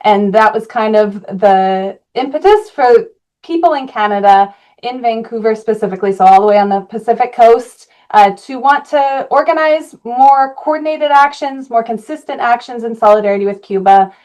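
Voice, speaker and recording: A woman from Colorado, an activist guest, answering interview questions earnestly, with frequent "uh" pauses. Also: fairly high pitch, darkish tone.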